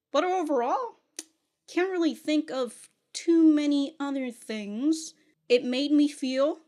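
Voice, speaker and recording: A woman giving a casual, unscripted review to viewers, giggly and rambling, often stammering through repeated false starts. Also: on the high side. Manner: giggly, often laughing as she talks. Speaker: anime reaction and review host.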